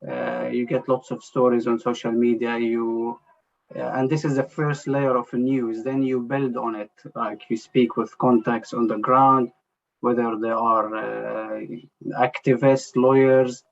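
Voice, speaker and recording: A man answers interview questions in a gravelly, creaky voice. He talks haltingly, restarting often and leaning on "you know". The sound is dull and lo-fi. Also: medium pitch, flat delivery. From Jerusalem. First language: Arabic. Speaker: journalist guest.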